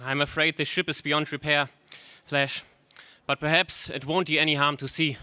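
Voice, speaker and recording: A gallant but gloomy prince from a space radio play, acted by a man. His stagey delivery is bright and slightly high, with a dry sound. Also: flat delivery, normal speed, clean tone, no echo. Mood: fatalistic. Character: prince ally, gallant but fatalistic, urgent. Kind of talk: 1930s space serial radio acting.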